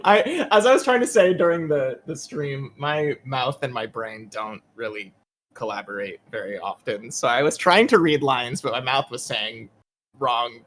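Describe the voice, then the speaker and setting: A voice actor telling anecdotes in a casual panel chat, halting, giggly and self-deprecating. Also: mid-range voice, a bit bright, a little hiss or hum.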